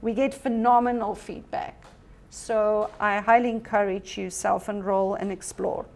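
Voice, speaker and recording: A woman's voice: mid-range voice, steady tone, a little slow, vocal fry, a little mellow. A woman whose first language is Afrikaans, giving an earnest, encouraging workshop presentation about teaching technology, with frequent ums.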